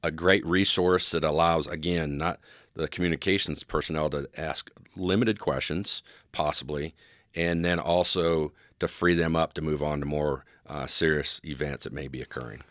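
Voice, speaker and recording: A man speaking as an expert guest in an interview, conversational and agreeable, often restating and qualifying his points in a halting, measured way. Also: extremely low pitch, steady tone, fairly bright tone, dry recording, clean studio sound.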